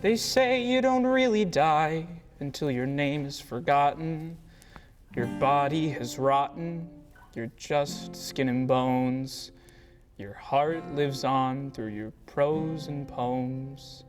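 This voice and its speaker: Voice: medium pitch, slow pace, natural voice. A performer playing a bookish, idealistic poet in a war musical, dramatic and lyrical. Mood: mournful, resigned. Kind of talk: musical theatre acting, part sung. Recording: loud music behind.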